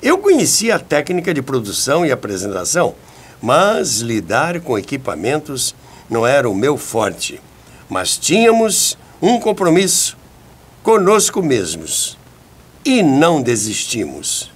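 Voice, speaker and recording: Expressive older Brazilian man, husky voice. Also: mid-range voice, unhurried. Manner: dramatic, emphatic storytelling. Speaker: presenter. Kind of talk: scripted narration.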